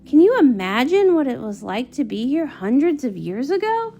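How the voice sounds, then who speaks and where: A woman voicing a studious, eager young unicorn princess in a comic read-along, earnest and excitable. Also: high-pitched, moderate pace. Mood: excited.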